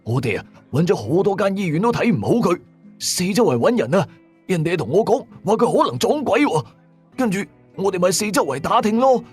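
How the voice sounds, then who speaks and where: Man doing an anxious, high-voiced young father. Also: big pitch swings, fairly quick, breathy edge, soft background music, heavily acted. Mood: anxious, urgent. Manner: urgent, rambling, pleading. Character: anxious young father, urgently seeking help for son.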